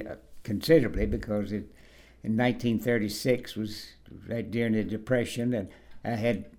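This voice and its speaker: Halting, low-voiced elderly man. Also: darkish tone. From Kentucky, USA. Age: 80s. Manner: halting, repeats and restarts words. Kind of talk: oral history interview, reminiscing. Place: at his home.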